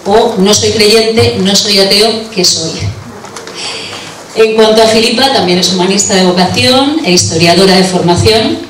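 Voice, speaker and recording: A woman at a conference introduces the next speakers in a steady, formal reading. Her voice is mid-pitched and reaches the mic from across the room. A roomy echo trails behind her words. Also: slight background noise. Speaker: conference presenter. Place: conference hall. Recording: echoey room, voice from far off.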